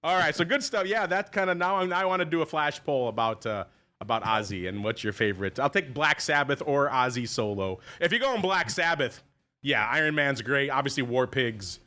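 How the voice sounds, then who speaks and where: A man hosting a podcast, chatting casually and joking around with a deep voice. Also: brisk, sharp tone. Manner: jokey, rambling. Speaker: podcast host. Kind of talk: casual chat.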